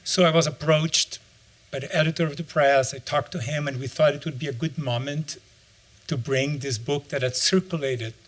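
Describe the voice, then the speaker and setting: A man's voice: on the high side, fairly even intonation, moderate pace, rough recording. Reflective middle-aged man. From Brazil. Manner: reflective, searching for words. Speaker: author and anthropologist. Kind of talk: interview answer.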